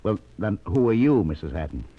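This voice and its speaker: A dull vintage radio recording of a gruff police lieutenant. His voice is low, his manner sardonic and exasperated, in 1940s drama style. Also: big pitch swings, brisk.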